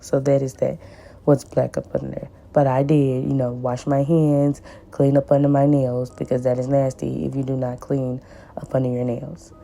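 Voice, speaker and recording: A woman with a hoarse, raspy voice from the flu, chatting casually as she narrates a nail tutorial and repeats words for emphasis. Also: level tone, moderate pace. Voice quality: raspy and deep. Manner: repeats words for emphasis, warm pleading asides. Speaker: nail tech vlogger.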